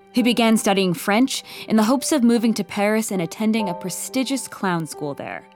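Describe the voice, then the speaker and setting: An actress as a wry female storyteller narrating a comedy drama. She speaks in a measured, deliberate way at a medium pitch, with soft music underneath. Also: close to an everyday voice. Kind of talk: scripted storybook-like comic narration.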